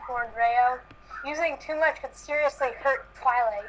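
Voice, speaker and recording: A woman's voice: high voice, a little slow, a bit bright, a little hiss or hum, poor audio. A woman reading fan fiction aloud, struggling to keep a straight face. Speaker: reader in a group comedy reading.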